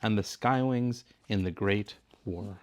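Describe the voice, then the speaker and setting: A middle-aged man reads a children's story aloud with a medium-pitched voice. He takes the part of a patient father who explains things as he goes. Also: a little slow. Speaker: father reading aloud with his son. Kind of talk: bedtime story read-aloud.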